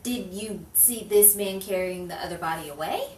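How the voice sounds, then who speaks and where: A woman's voice: medium pitch, moderate pace, a little mellow, lightly acted. Woman acting a persistent noir reporter, roomy distant sound. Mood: probing. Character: persistent, persuasive female newspaper reporter in a noir story. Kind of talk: improvised noir radio-play acting. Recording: roomy, distant mic with faint noise.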